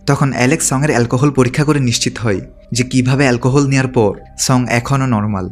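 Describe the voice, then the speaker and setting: A man's voice: medium pitch, steady tone, quick pace, faint music behind. A man reading a scripted story recap as a narrator.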